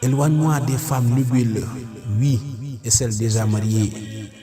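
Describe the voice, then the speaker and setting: A man reciting devotional poetry as a solemn, pleading prayer, declaiming it in measured short phrases. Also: medium pitch, music in the background. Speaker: poet reciting prayer poems.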